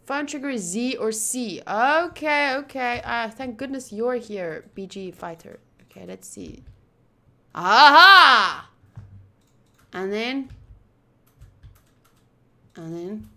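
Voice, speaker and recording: A woman doing casual playthrough commentary of a visual novel, reading game text aloud and thinking out loud with excitable energy. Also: a little high, slow, long pauses. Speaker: streamer playing a visual novel.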